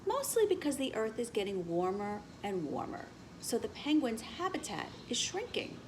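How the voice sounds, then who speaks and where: A woman voicing a patient female elementary teacher in a children's storybook, explaining things clearly and emphatically. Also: a little high, wildly swooping pitch, normal speed, slight background noise. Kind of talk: children's storybook read-aloud.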